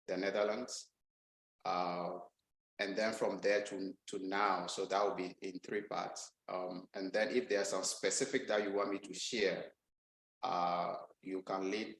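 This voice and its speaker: A man's voice: sits low, vocal fry, a bit bright, echoey room, low-quality recording. A man from Ghana giving a guest talk over a video call, speaking hesitantly with many ums and restarts. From Ghana, Volta region. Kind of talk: casual online talk.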